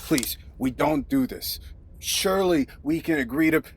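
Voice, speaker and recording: An actor playing a slick, sleazy drug dealer, glib and wheedling, in an audio drama. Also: male voice, on the high side, lively intonation, measured pace. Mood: frightened pleading.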